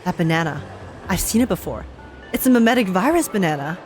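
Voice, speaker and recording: A young woman streaming a game, reacting and reading aloud in a playful, expressive way. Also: fairly low pitch, quick pace. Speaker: gaming streamer. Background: game music.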